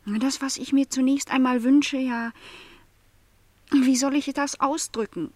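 Earnest young housemaid, slightly high-voiced. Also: female voice, steady tone, measured pace, darkish tone, no room sound. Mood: hesitant, yearning. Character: lonely twenty-year-old housemaid, wistful, stubborn, hopeful. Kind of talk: literary radio play dialogue.

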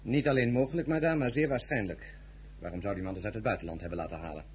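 An actor playing a forthright, indignant general in a radio drama, speaking in an emphatic, formal manner. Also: male voice, lowish voice, quick pace, a little mellow, a little hiss or hum, lightly acted. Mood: firm, formal. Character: Bernadotte, forthright, indignant general, husband and father. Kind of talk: Dutch radio drama acting.

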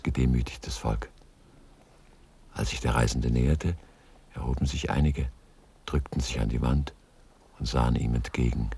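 Very deep, gravelly male narrator. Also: a little mellow. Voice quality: gravelly, husky. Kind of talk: literary radio drama narration.

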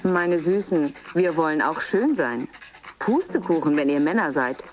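An assured fitness coach in a radio drama. Her voice is on the low side, and she hands out exercise instructions like polished slogans, with faint music in the recording. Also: animated, unhurried. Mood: bossy. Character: brisk female fitness coach giving stretching instructions. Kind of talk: radio play, class instruction.

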